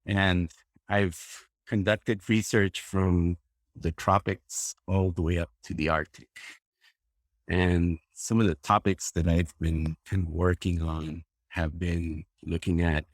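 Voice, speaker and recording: Male interview guest, low and deep, dry mic, thinks aloud with fillers. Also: no room sound. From Costa Rica. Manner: hesitant, many fillers and restarts. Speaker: guest plant ecologist. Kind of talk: casual interview talk.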